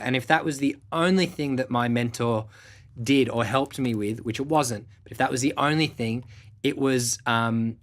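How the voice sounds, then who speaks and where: A man's voice: mid-range voice, a bit bright. A young man who hosts his own show as a music producer, giving candid motivational advice to camera in a laid-back way, with casual swearing and lots of fillers.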